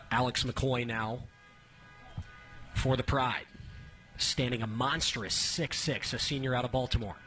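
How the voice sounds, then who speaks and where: Male radio baseball announcer, bright forward voice, clipped play-by-play delivery that lifts with the action. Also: mid-range voice. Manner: clipped descriptive phrases, rising excitement on action. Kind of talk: radio sports play-by-play commentary.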